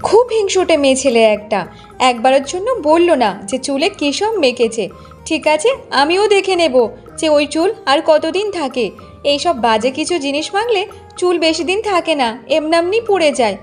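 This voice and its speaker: A woman's voice: sits high, expressive, moderate pace. A woman voicing a vain, bossy young village wife in a cartoon, demanding and boastful as she nags. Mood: spiteful. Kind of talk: Bengali cartoon voice acting. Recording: music bed under the voice.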